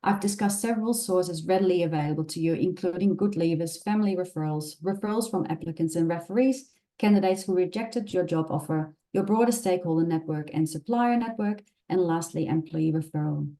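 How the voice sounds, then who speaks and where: A woman from the Netherlands with a Dutch accent, presenting a webinar over a video call in a clear, instructive way. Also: on the low side, a little fast, hardly any pauses, dark tone, low-quality recording.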